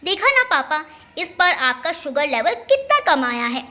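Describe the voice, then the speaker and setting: A woman's voice: sits high, very animated, normal speed, sharp tone, heavily acted. A woman storyteller voicing a cheerful, caring young married daughter, chirpy and affectionate in manner. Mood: encouraging.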